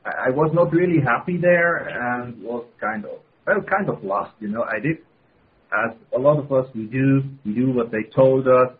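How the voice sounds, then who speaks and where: Swiss-accented man, husky voice, halting restarts, tinny phone audio. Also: medium pitch. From Switzerland. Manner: halting, restarts phrases, laughs often. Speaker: guest. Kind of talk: casual interview. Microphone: telephone. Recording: phone-line call-in audio.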